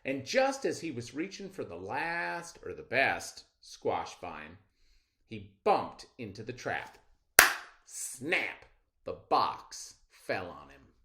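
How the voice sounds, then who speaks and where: A man reading a children's story aloud as a storyteller, with expressive, deliberate phrasing. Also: mid-range voice.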